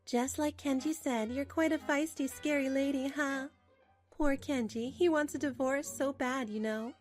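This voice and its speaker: A woman's voice: sits high, steady tone, a little slow. Smug young other woman voiced by a female narrator, mock-sweet and taunting, manga dub with faint music. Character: smug, sugary young mistress, the husband's old flame. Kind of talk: dramatic manga dub dialogue.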